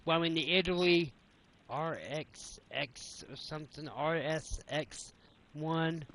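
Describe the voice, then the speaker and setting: A man chatting while he plays a game, tripping over and repeating his words. He has the sniffles, and his voice is bright, forceful and a bit high. A faint hiss sits underneath. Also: steady tone, takes it slowly. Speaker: gamer recording gameplay. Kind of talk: casual chat.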